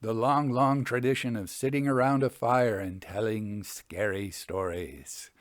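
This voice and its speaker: A man hosting and reading Victorian ghost stories in a measured, expressive storytelling manner. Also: lowish voice. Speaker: podcast host and reader of ghost stories.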